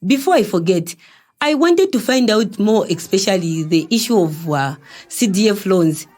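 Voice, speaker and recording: A woman's voice: mid-range voice, normal speed, natural voice. A woman with a Zambian accent playing a chatty, inquisitive middle-aged mother in an educational audio drama, exclaiming and asking questions. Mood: warm, amused. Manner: animated, exclaiming, full of questions.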